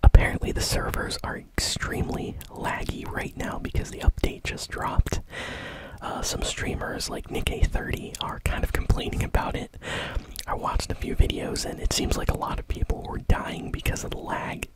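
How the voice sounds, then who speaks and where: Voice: moderate pace, rough recording. Whispering ASMR gaming news host. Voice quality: breathy, unvoiced whisper. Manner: soft-spoken, hesitant, informal.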